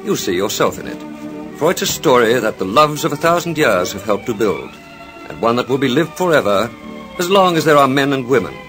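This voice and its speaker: A man's voice: medium pitch, big pitch swings, a little fast, rough recording. Dramatic male vintage radio serial announcer over music. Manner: dramatic, sentimental, formal delivery. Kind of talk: vintage radio soap opera narration.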